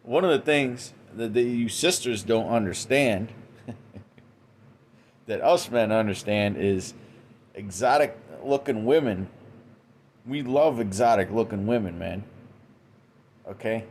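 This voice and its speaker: A man's voice: lowish voice, slow pace, long pauses, a little mellow, slight background noise. A man giving an informal religious talk, tagging nearly every sentence with "okay?" and "man", with frequent restarts. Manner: frequent restarts and repeated words. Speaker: religious commentator. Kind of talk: informal preaching monologue. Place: indoors, Western New York.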